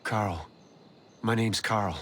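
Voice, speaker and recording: An actor playing a shaken, anxious former prisoner in a video game cutscene, talking urgently. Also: male voice, on the low side, slow, fairly bright tone, a little hiss or hum. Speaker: released prisoner.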